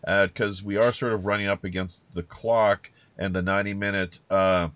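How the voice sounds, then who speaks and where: A man's voice: fairly low pitch, steady tone, normal speed, fairly bright tone, clean tone. A man hosting a casual interview podcast, talking in a wordy, rambling, roundabout way on a lo-fi connection. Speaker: podcast host. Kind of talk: casual interview chat with pirate-themed banter.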